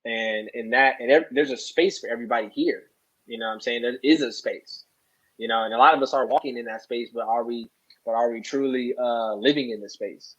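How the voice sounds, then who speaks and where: A man with a husky, slightly rough voice talks casually as a podcast guest. He rambles earnestly, circling back and checking in often. The recording is a bit dull and lo-fi. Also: medium pitch, fairly quick, fairly bright tone. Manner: rambling, earnest, repeats "you know". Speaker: interview guest, soccer player.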